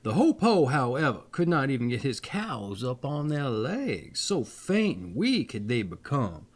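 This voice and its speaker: A man reading fairy tales aloud as a host, expressive and theatrical, with playful folksy asides. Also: mid-range voice, a little slow. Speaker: storyteller and channel host.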